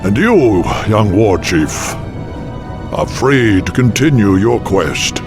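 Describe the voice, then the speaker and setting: An actor playing an old, wise, proud tauren chieftain in a fantasy game, measured, solemn and fatherly. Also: lowish voice, animated, music in the background. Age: older.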